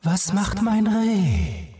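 A man voicing a gentle, sorrowful young queen who returns as a ghost in a fairy tale. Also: high voice, huge pitch swings, drawn-out words, voice pushed up, heavily acted. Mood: solemn, plaintive.

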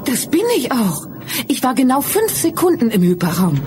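Over clear background noise, a woman traveller speaks in a high, lively voice. It is old-fashioned 1980s sci-fi radio play acting. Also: normal speed. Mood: excited. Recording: noisy old audio drama clip.

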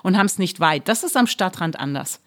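A woman politician answering interview questions in a measured, explanatory way, pausing often with fillers. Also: fairly low pitch, animated. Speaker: politician running for a district seat.

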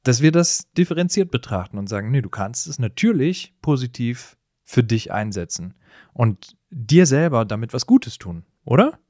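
A man's voice: medium pitch, big pitch swings, normal speed, warm tone, dry recording, studio quality. A man co-hosting a casual, comedic discussion, with a teasing, bantering manner. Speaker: podcast co-host.

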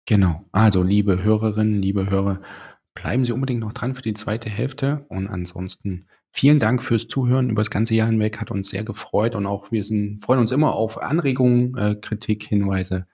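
Co-host: man, lowish husky voice, polite and formal. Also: moderate pace, mellow. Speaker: podcast co-host. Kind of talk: podcast hosting.

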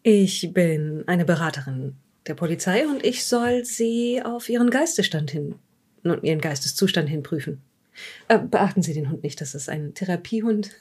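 A woman playing a calm police psychologist who questions a suspect politely and tentatively in an improvised audio drama. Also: on the low side, moderate pace, husky.

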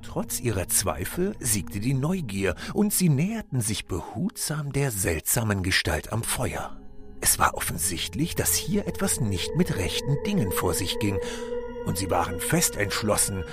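Low-voiced male storyteller over music. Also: big pitch swings, a little slow. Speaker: narrator. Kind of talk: scripted fairy-tale narration.

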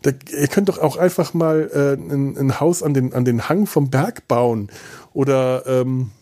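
A man speaking casually as a podcast host, thinking aloud in a halting way and repeating words as he searches. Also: mid-range voice, dark tone.

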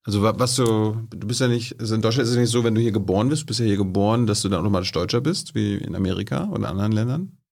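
Man, host, low voice, quick pace, restarts his questions, studio interview. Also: creaky, darkish tone. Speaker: podcast host. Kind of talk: interviewing a guest.

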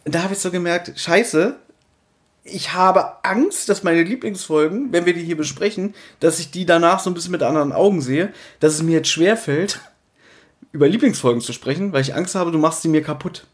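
A man's voice: a little high, normal speed. A man co-hosting a podcast in casual, rambling banter with a teasing, mock-serious tone. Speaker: podcast co-host. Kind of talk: casual banter about audio dramas.

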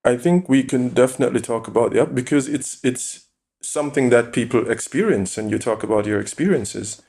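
A man as a podcast guest, calmly explaining his view with examples and rhetorical questions. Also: medium pitch, brisk. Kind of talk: interview conversation.

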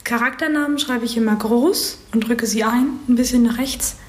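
Higher-voiced young woman in an echoey room. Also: moderate pace. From Berlin, Germany. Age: 20s. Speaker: interviewee, creative writing student and audio drama writer. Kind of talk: casual interview. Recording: roomy, echoing interview recording.

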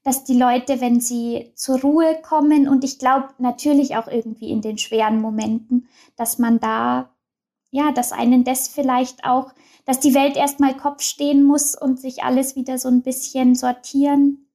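A young woman with a high-pitched voice talks as an interview guest. She speaks in a casual, open way, full of fillers and restarts. Her voice sits well above the usual range for women. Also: flat delivery, a little slow, warm tone. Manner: open, chatty, many fillers and restarts, laughs often.

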